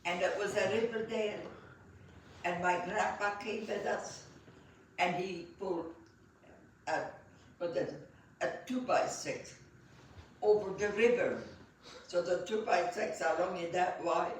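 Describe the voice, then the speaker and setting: A woman, recalling her life in an interview in a halting manner full of fillers and restarts. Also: lowish voice, a little hiss or hum. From Belgium. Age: 90s. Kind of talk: reminiscing storytelling. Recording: echoey room, voice set far from the mic.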